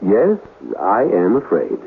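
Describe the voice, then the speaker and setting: An actor playing an uneasy but stubborn ghost hunter in a radio drama, dramatic and wary in delivery. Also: male voice, fairly low pitch, sing-song, slow pace, a little hiss or hum. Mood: wary, dry. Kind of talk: 1970s radio drama acting. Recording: muffled old radio broadcast, faint music underneath.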